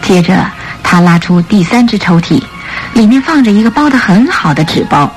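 A woman's voice: medium pitch, animated, moderate pace, poor audio. Female radio drama narrator over music. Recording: studio radio play with music bed.

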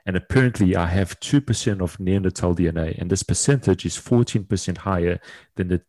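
A man from South Africa introducing himself on a casual podcast, telling his story in a relaxed, steady way. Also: sits low, fairly quick, no echo. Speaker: podcast co-host.

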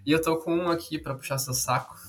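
A man's voice: a little high, steady tone, brisk, a bit bright. A man in his thirties with a Brazilian accent, chatting casually and jokingly as a podcast co-host. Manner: playful, joking. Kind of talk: casual chat.

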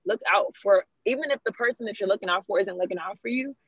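A young woman from Ghana is the guest in a casual talk. She tells her stories with energy and acts out what other people said. Her voice is husky, the recording is dry and a little muffled. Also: medium pitch, fast, dry recording. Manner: animated storyteller, quotes people in dialogue. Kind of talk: casual discussion. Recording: muffled, slightly lo-fi.

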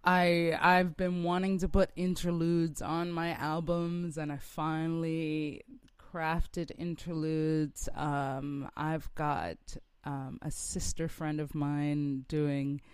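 Slow, smooth-voiced reflective woman. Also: low voice, level tone, dry recording. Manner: reflective, searching for words as she goes. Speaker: rock singer, guest. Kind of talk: conversational interview.

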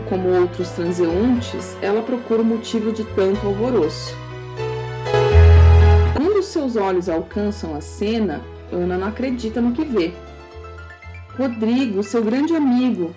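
Brazilian woman storyteller over loud music. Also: mid-range voice, expressive, measured pace. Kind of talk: dramatic scripted storytelling narration.

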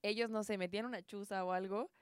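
A woman's voice: mid-range voice, steady tone, quick pace, clear, resonant tone, no room sound. Young Mexican woman, casual co-host swapping personal stories with friends, close dry sound. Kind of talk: casual chat and personal anecdotes among friends.

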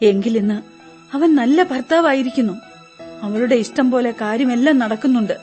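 A woman acts out a reproachful middle-aged wife and mother in a radio play. Her voice is on the high side, with a cutting, accusing and defiant delivery. There is faint music in the background. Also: brisk, a little mellow, natural voice. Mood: bitter, sarcastic. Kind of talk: Malayalam radio drama acting.

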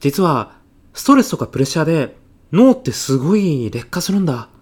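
A man's voice: fairly high pitch, big pitch swings, brisk, darkish tone, husky. A man playing a mild, self-effacing classmate in his thirties with a sly salesman's streak, speaking politely in a comedy radio drama. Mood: apologetic, concerned. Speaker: actor in an audio comedy sketch.

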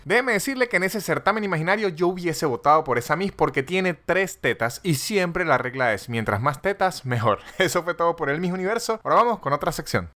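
A man's voice: fairly high pitch, animated, a little fast, few pauses, sharp tone, a faint crowd, a little hiss or hum. A man hosting a comedy podcast, rambling sarcastically through a monologue and correcting himself as he goes. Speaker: podcast host. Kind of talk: comic monologue.

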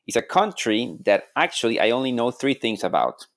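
A man's voice: mid-range voice, big pitch swings, a little fast, a bit bright. A man co-hosting a podcast, introducing the guest with wry jokes. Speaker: podcast co-host. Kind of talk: podcast interview.

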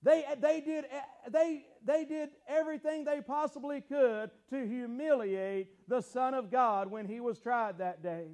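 A man preaching a fiery sermon, often breaking into shouts and stumbling over repeated words as he presses his point. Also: very high-pitched, measured pace, few pauses. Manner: impassioned, bursts into shouting, repeats phrases.

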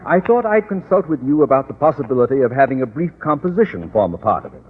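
Casting: officious, irascible male principal, husky, swooping delivery, vintage radio sitcom, tinny sound. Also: mid-range voice, very animated, quick pace. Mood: long-winded, officious. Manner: pompous, long-winded, orotund. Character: pompous, autocratic, short-tempered male school principal. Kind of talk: 1940s-50s radio comedy acting. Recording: tinny, narrow old radio recording.

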